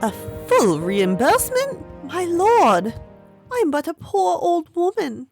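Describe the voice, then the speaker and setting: A woman's voice: very high voice, wildly swooping pitch, slow, a little mellow, soft background music, full character voice. A woman playing a grasping, pleading old brothel madam in an audio drama. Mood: wheedling, pleading. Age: older. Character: Mayal, grasping old silk-house madam, wheedling.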